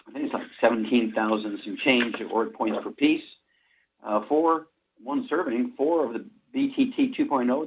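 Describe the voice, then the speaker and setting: A man in his seventies hosting a radio show, rambling through a supplement pitch and correcting himself as he goes. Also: slightly rough. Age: 70s. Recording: muffled radio broadcast.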